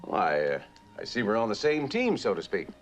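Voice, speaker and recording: A man's voice: on the low side, wildly swooping pitch, normal speed, faint music behind. An actor playing a chatty, inquisitive bartender in a suspense drama, genial and deferential as he pries. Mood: curious.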